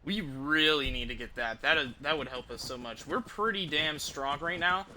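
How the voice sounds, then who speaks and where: A man's voice: on the high side, moderate pace, bright, forceful tone, a little hiss or hum. A man giving casual, sweary live commentary as he streams a video game.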